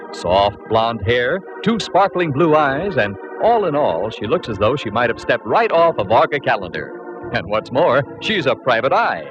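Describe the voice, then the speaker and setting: A male radio announcer introducing a show in a playful, teasing, showy manner, on a muffled old radio broadcast with organ music. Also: medium pitch.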